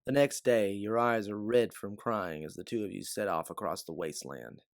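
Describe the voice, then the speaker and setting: A man's voice: fairly low pitch, moderate pace, dry recording, studio quality. A man reading scripted dramatic fiction as a storyteller, narrating in the second person.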